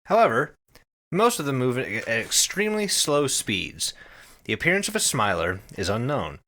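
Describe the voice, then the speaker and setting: A man co-hosting a podcast, talking casually and describing things in an animated way with casual swearing. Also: mid-range voice, normal speed. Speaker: podcast co-host.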